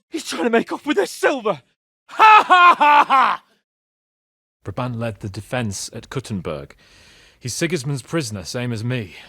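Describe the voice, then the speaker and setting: An actor playing a hot-headed, haughty young medieval nobleman in a video game, theatrical and quick to turn indignant. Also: high voice, bright, forceful tone, husky, no room sound, studio quality, heavily acted. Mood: earnest. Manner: foul-mouthed, indignant, theatrical. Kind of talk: video game character voice lines.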